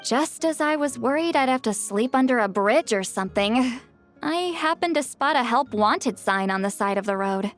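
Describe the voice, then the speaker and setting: A proud, guarded ex-commander, voiced by a woman speaks in a high, lively voice. She is wry and easily flustered, and faint game music plays under her lines. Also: normal speed, close to an everyday voice. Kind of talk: video game voice acting.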